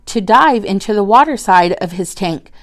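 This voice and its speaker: A woman teacher narrating a children's book aloud in a deliberate, expressive way. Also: mid-range voice. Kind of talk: storybook narration.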